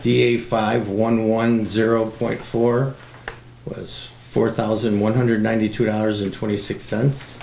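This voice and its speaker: Gravelly low-voiced man reading a report. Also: flat delivery, measured pace, a little mellow. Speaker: highway superintendent. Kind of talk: formal report read aloud. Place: indoor public meeting room. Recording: echoey meeting room, faint noise.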